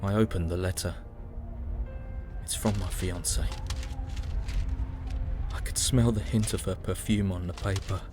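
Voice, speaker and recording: Man acting sombre young British soldier, low voice. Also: fairly even intonation. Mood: quiet, wistful. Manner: sombre, reflective, measured. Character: young weary British soldier in the First World War trenches. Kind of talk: dramatic first-person audio drama monologue. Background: prominent music.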